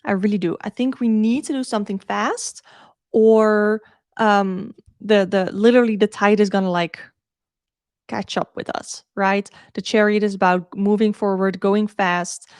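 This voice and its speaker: A woman with a Dutch accent talks casually to a live stream as a tarot reader. Her pitch sits in the middle for a woman, and she thinks aloud, circling back over her words. The sound is dry, with very little room in it. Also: fairly even intonation, a little slow, darkish tone, smooth, no room sound. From Netherlands. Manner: informal, thinks aloud, repeats herself.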